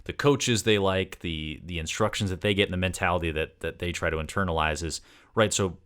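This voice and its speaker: A man's voice: sits low, brisk, fairly bright tone. A man interviewing as a sports podcast host, conversational, with wordy questions that meander through several parts.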